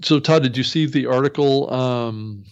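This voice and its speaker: A man's voice: mid-range voice, unhurried, clean tone. A man co-hosting a podcast, casually discussing the industry. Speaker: podcast co-host. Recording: dull, slightly lo-fi audio.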